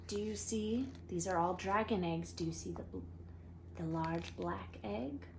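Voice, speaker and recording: A woman reading a storybook aloud as a teacher, in an expressive narrating manner. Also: mid-range voice, unhurried, gravelly, noisy background.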